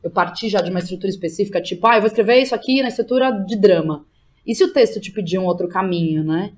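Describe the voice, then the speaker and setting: A woman with a Brazilian accent talks as a guest in a casual interview. Her pitch sits in the middle range. She speaks informally, with plenty of slang and small tags that check the listener follows. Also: quick pace, darkish tone, slight background noise. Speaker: interview guest, playwright, director and drama teacher. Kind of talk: casual, animated interview talk.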